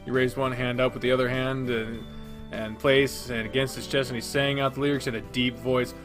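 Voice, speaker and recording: A man's voice: medium pitch, little pitch movement, a little fast, music in the background. Man narrating a visual novel. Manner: plain second-person narration. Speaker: let's play reader. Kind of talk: reading a visual novel aloud.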